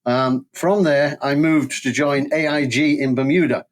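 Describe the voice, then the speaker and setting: A man's voice: a little high, a little slow. Older Englishman with a Manchester accent. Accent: Mancunian English. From England. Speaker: podcast guest, safety training company president. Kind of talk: conversational career storytelling.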